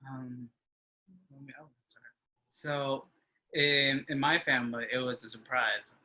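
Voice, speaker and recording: A man in his twenties, an interview guest, talking with halting speech and frequent restarts. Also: medium pitch, fairly even intonation, sharp tone, low-quality recording. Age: 20s. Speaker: interview guest, engineering student. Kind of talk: casual conversation.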